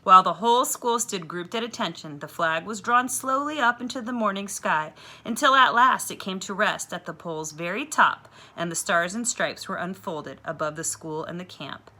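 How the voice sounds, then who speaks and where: A woman reading a children's novel aloud with clear, expressive storytelling. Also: mid-range voice, moderate pace, hardly any pauses.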